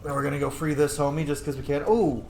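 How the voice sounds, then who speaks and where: A man's voice: on the high side, little pitch movement, fast, vocal fry, a little mellow, roomy sound, a little hiss or hum, poor audio. A man giving casual, playful, sweary commentary as he plays a video game. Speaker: gaming video host.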